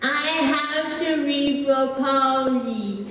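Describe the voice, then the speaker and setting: A wry, wistful fourteen-year-old boy played by a woman confides his story in a dramatic stage monologue. The voice is fairly high and conversational, broken by dramatic pauses. It rings through a very echoey hall, picked up from a distance. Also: fairly bright tone, full character voice. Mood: strained, deliberate. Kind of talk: solo dramatic monologue, direct address. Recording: echoey hall, distant, muffled, faint noise.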